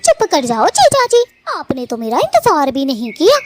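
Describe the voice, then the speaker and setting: High, lively, vain young female bird voiced by a woman. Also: moderate pace, no echo. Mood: playfully sulky. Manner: coquettish, self-admiring, chatty. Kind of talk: animated story dialogue.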